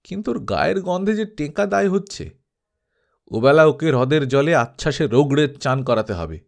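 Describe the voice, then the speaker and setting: Man acting a bossy, animated male gang leader. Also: on the high side, moderate pace, gravelly. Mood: disgusted. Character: Tom, bossy scolding male leader of adventurers. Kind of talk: dramatised audiobook storytelling.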